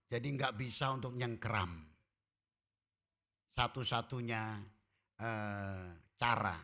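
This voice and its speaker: A man preaching a sermon as pastor, folksy and joking with the congregation, swinging from quiet asides to loud shouted emphasis. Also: medium pitch. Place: church.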